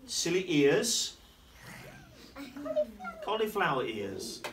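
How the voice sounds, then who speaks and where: A middle-aged headmaster reading a picture book aloud in a classroom, playfully asking the children questions and slowing down on the rhymes. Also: male voice, on the high side, very animated, a crowd in the background. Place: primary school classroom, indoors. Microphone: camera across the room. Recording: distant camera, noisy classroom.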